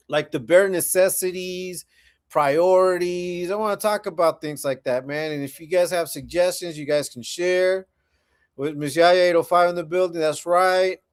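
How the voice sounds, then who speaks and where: A man's voice: fairly high pitch, clear, resonant tone. A man in his forties hosting a casual livestream, chatting laid-back with viewers and often repeating himself. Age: 40s. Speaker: livestream host.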